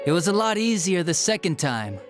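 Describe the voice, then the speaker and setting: An actor playing a smug, spiteful young detective villain in a video game, with a sneering, flippant and theatrical manner. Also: male voice, high voice, very animated, brisk, music in the background. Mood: gleeful mocking. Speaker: police detective. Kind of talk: dramatic video game voice acting.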